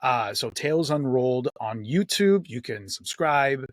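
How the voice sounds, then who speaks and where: A man's voice: medium pitch, lively intonation, unhurried, dry recording, clean studio sound. A man talking casually and thoughtfully in an interview as a game master, often repeating phrases for emphasis.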